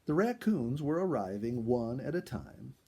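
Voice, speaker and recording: A man reading a first-person animal story aloud in an expressive, dramatic storytelling style. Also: medium pitch, a little slow, gravelly, slightly rough. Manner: expressive, acts out dialogue and exclamations.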